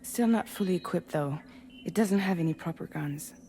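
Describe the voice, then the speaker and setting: Game role: actress as calm, supportive female team leader, very low mellow voice, dry humour. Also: moderate pace, slight background noise, close to an everyday voice. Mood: calm, businesslike. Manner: calm, matter-of-fact. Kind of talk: video game cutscene acting.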